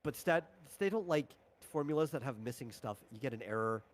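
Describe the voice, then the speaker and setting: A man's voice: medium pitch, expressive, brisk. A man talking casually on a livestream as a tabletop game designer, explaining rules in a rambling, informal way.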